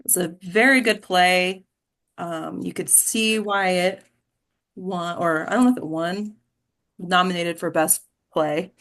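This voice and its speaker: A woman's voice: on the low side, fairly even intonation, slow, fairly bright tone. A woman chatting informally after a play reading, hesitant and often trailing off. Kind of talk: casual post-reading discussion.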